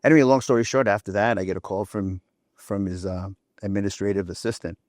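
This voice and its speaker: Low-voiced man in his fifties, executive guest, chatty storyteller, dry close sound. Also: fast, a little mellow, dry recording. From New York City. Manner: chatty anecdotes with frequent "you know" and "right?". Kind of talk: relaxed storytelling interview talk.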